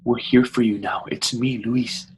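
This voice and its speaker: A man's voice: medium pitch, little pitch movement, a little slow, natural voice. Desperate, pleading young man over noisy ambience, acted. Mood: soothing, pleading. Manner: urgent, pleading, stammering repetitions. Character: desperate, tender young man rescuing his beloved. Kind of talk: dramatic virtual play reading.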